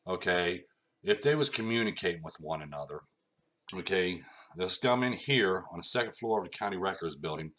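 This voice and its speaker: Middle-aged man, low voice, loose walk-through presenter, keeps checking in with "okay.". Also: fairly bright tone. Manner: repetitive, keeps saying okay, nonstandard grammar. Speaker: self-published researcher presenting a theory. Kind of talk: informal lecture pointing at a map.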